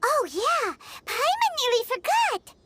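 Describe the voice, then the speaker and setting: An extremely high, squeaky childlike voice for a little floating game companion. She is chirpy, impatient and exclamatory, drawing words out at a slow pace. Noise is audible behind her. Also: sing-song, full character voice. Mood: chirpy, earnest. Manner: exclamatory, pleading, blunt. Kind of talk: scripted video game dialogue.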